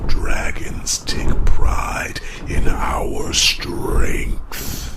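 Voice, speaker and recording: A man voicing a huge old male dragon, proud and fond, telling a tale slowly in a gravelly, breathy growl for an ASMR fantasy roleplay. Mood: proud, reflective. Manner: slow, halting, ponderous delivery with rumbling hums.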